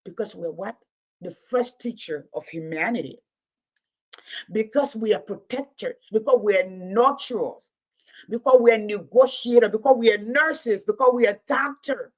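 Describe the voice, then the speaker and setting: A woman's voice: mid-range voice, moderate pace. A woman in her late fifties giving impassioned livestream commentary, preaching in repeated rhetorical build-ups. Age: 50s. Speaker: commentator and Pan-Africanism teacher.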